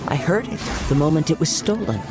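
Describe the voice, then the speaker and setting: A man voicing a calm, courteous young wandering swordsman in a video game, with composed, formal and poetic delivery. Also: on the high side, fairly quick, over loud music. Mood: calm, guiding. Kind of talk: understated video game voice acting.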